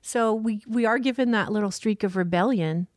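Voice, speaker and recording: A woman's voice: mid-range voice, moderate pace, no room sound. A woman surf school founder talks with earnest passion in a casual podcast interview. Her voice sits mid-range and is recorded dry and close, with no room sound. She laughs easily between thoughts. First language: French.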